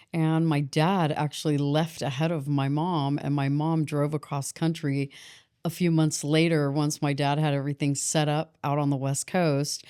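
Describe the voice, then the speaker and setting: A woman in her forties, a podcast guest, telling her life story in a reflective, halting way. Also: low voice, warm tone, clean tone. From Boston, grew up in San Diego. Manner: reflective, halting, with restarts and fillers. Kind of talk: personal story in a podcast interview.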